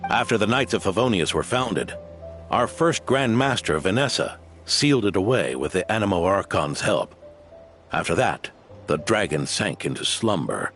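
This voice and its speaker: An actor playing an adult man gravely recounting old history in game dialogue. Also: low voice, expressive, moderate pace, fairly bright tone, faint music behind. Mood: solemn.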